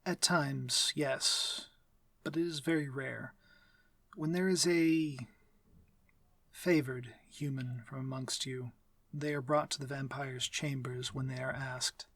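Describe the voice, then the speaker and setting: A man playing a courteous, commanding vampire lord in an intimate ASMR roleplay, speaking formally in a measured, breathy, near-whispered voice. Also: mid-range voice, steady tone, a bit bright, no room sound. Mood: calm, instructive. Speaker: audio roleplay voice actor.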